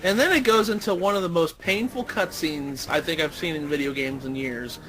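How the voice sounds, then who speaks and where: A man's voice: a little high, a little fast, fairly bright tone, low-quality recording. A man chatting casually as a co-commentator during a video game playthrough. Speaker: let's play co-commentator. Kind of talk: casual gaming chat. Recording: faint background noise and ambience.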